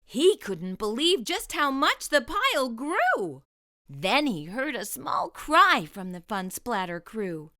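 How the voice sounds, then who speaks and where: High, animated woman reading a storybook. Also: slow pace. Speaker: storytime host, read-aloud narrator. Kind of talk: expressive children's storybook narration.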